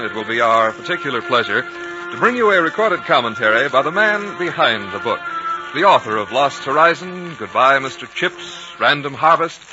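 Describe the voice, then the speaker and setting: A man with an American accent announcing formally and in stately style on a 1950s radio broadcast. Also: mid-range voice. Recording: dull vintage broadcast, loud music behind.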